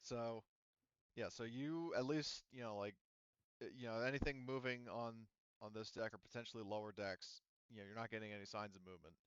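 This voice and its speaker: A man's voice: mid-range voice, steady tone, brisk, clean tone, dry recording, lo-fi sound. A man running a tabletop roleplaying game as game master, explaining things casually in a rambling, improvised way.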